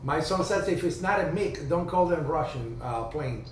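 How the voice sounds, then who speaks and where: A man, hosting a casual ham radio video chat and telling stories in a chatty way, with halting restarts. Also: on the high side, animated. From Cuba. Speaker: ham radio livestream host. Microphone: video call. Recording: distant roomy video-call audio, faint hiss.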